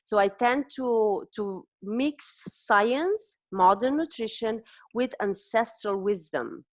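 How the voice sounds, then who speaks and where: A woman's voice: a little high, slow, no room sound. Romanian-accented woman, dry close sound. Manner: thoughtful, searching for words with frequent restarts. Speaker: host, dietitian. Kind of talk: conversational interview.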